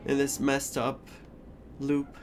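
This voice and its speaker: A woman streamer giving casual, emotionally invested live commentary on a story game, halting and repeating herself as she goes. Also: rumbling low, completely flat delivery, over music.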